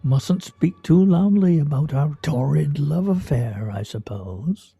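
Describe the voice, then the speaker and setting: A man's voice: on the high side, expressive, takes it slowly, creaky, warm tone, slightly rough, dry recording, studio quality, soft background music, full character voice. A man playing a wry, theatrical husband in a comic radio play, speaking in a pompous, mock-formal way. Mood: hushed, conspiratorial. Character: wry, theatrical, teasing husband with grandiose fantasies. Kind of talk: stage radio play comedy acting.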